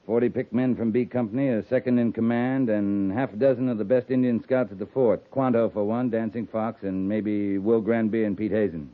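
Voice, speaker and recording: A man's voice: fairly low pitch, steady tone, normal speed, hardly any pauses, close to an everyday voice. An American man in a 1950s radio drama playing a stern, protective frontier cavalry captain with curt, authoritative military speech. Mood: steady, respectful. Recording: muffled, band-limited 1950s radio recording.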